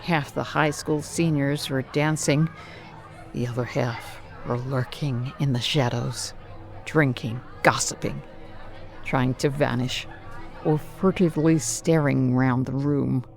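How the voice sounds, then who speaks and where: A narrator telling a scripted slasher horror story in a deliberate, menacing, dramatic way with theatrical pauses. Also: medium pitch, steady tone, vocal fry, faint music behind, a faint crowd.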